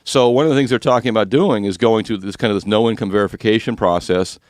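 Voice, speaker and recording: A man hosting a finance talk radio show, speaking with a slightly rough, husky voice and explaining mortgage rules in a chatty, joking way. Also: fairly low pitch, fast, a little mellow, no room sound, studio quality.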